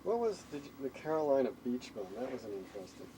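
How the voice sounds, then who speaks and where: A man's voice: mid-range voice, very animated, quick pace. Guy from oklahoma asking casual questions, off mic, lots of noise behind him. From Oklahoma, United States. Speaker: interviewer. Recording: distant from mic, noisy amateur recording.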